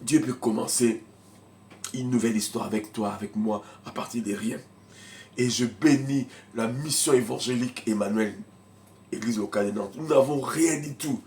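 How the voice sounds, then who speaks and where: A man preaching a sermon as pastor, who has a cold and coughs. He moves between shouted, fervent lines and quiet, mumbled asides. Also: mid-range voice, moderate pace, a little hiss or hum. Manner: speaks in tongues in bursts.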